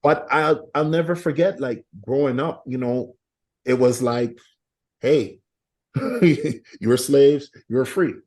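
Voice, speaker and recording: A man's voice: mid-range voice, steady tone, takes it slowly, darkish tone. A Canadian man talking as a podcast guest, rambling and often asking "you know what I'm saying". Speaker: guest artist. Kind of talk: casual podcast interview.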